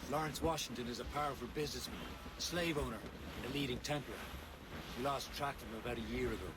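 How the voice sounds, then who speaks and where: An actor playing a stern, grave mentor in a video game cutscene, giving a measured, serious briefing. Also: male voice, mid-range voice, close to an everyday voice. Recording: game audio with loud sea noise.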